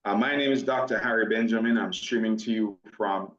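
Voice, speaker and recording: Jamaican man pitching on a video call. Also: a bit bright. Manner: measured, emphatic, repeats points. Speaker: presenter of a business opportunity. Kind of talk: online sales presentation. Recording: roomy dull video-call audio.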